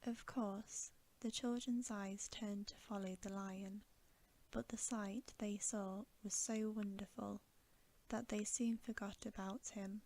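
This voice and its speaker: A woman softly whispering a bedtime story for ASMR, gentle, hushed and unhurried. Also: mid-range voice, flat delivery, slight background noise. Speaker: ASMR reader.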